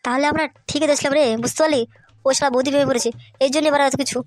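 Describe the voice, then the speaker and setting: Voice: sits high, fairly even intonation, very fast, dry recording, close to an everyday voice. A performer voicing brash, foul-mouthed young village men in a comic cartoon, in crude slangy banter over music. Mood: gossipy, suspicious. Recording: music behind the voice.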